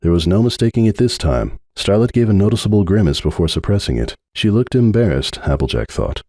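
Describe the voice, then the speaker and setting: Man, dramatic story narration, mellow dark tone, animated intonation, close mic. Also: fairly low pitch, fairly quick, no room sound. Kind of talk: dramatic fan-fiction audiobook narration.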